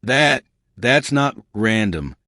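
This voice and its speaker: A man playing a skeptical, cautious male scientist in an audiobook, with a gruff, doubtful, muttering manner. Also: mid-range voice, big pitch swings, takes it slowly, pushed and bright, dry recording, clean studio sound, exaggerated voice.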